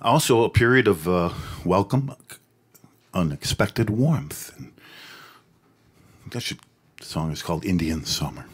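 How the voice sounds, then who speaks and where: An older man, a singer-songwriter, singing his own songs into a stand mic on a small cafe stage, with soft, halting spoken introductions between songs. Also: deep voice, lively intonation, breathy edge. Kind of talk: singing original songs with acoustic guitar. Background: his own acoustic guitar.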